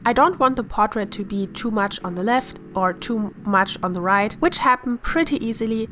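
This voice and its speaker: Woman with a cold-roughened voice. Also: mid-range voice, slight background noise. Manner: calm, step-by-step instructive. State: hoarse from a cold. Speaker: art tutorial host. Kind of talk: voice-over painting tutorial. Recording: voice-over with faint music behind.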